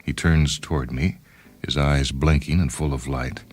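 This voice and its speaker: A man plays an obsessive time-travelling inventor telling his own story. The voice is very deep, deliberate and pedantic, coolly self-absorbed. Music runs behind the narration. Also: fairly even intonation. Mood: wry, detached. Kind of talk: first-person dramatized short-story narration.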